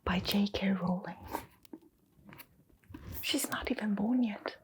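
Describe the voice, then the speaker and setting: A woman playing a kindly, mysterious keeper of a magical old bookshop in a hushed, soothing ASMR storytelling roleplay, pausing often. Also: mid-range voice, noisy background, lightly acted. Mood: secretive, gentle. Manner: slow, hushed, soothing, with long pauses.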